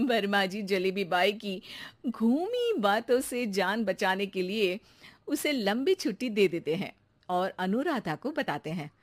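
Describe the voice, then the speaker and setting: A woman's voice: mid-range voice, expressive, slow pace. A woman reading scripted narration for a comic bedtime story.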